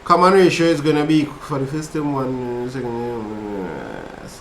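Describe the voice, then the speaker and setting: A man's voice: medium pitch, moderate pace, roomy sound, slight background noise. A man with a Trinidadian accent who tutors maths over a casual livestream and chats with viewers between steps. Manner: relaxed, chatty, reads chat aloud while working problems. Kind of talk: casual livestream study session.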